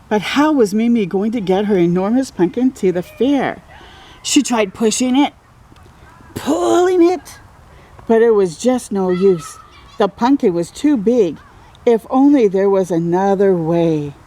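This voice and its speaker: A woman's voice: mid-range voice, mellow. A woman reading a picture book aloud to young children, expressively, with exclamations and encouraging little asides. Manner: exclaims and comments encouragingly, drawing out key words. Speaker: children's read-aloud storyteller.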